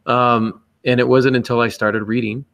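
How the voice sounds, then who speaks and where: A man's voice: mid-range voice, little pitch movement, moderate pace, creaky, a bit bright, dry recording. A man co-hosting a podcast, giving casual writing advice in a joking, self-mocking manner. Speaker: podcast co-host and novelist.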